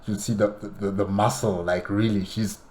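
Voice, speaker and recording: A man giving casual, halting reaction commentary, rambling and repeating phrases as he goes. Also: fairly low pitch, flat delivery, a little mellow, a little hiss or hum. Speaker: reaction video host.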